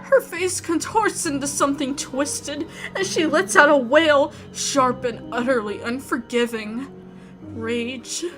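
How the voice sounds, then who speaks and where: A woman with a high voice reads a first-person horror story aloud. Her delivery is dramatic and suspenseful, with faint music underneath. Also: slow pace, a bit bright. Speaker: visual novel let's-play narrator.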